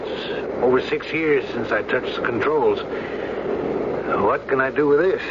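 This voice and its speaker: Actor as folksy, flustered middle-aged American doctor. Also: male voice, medium pitch, unhurried, lightly acted. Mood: wry, reluctant. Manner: colloquial, wry, flustered. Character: folksy widowed doctor reluctantly flying a stricken plane. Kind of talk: radio drama acting. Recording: muffled radio drama with loud engine noise.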